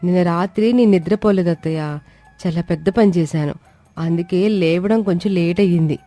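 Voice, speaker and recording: A woman performs a young, work-shy but clever daughter-in-law in an animated tale. She reasons and coaxes with cheeky confidence. The voice is close and dry, with music behind it. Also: on the low side, fairly quick, no room sound, natural voice. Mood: pleading, explaining. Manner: pleading yet self-assured explanations. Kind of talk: acted dialogue in an animated moral story.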